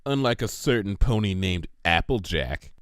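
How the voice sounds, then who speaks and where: A man's voice: sits low, sing-song, moderate pace, no room sound, studio quality. Man doing a bookish, exasperated girl pony. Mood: wry. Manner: earnest, precise, weary. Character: bookish, exasperated, well-meaning young female pony.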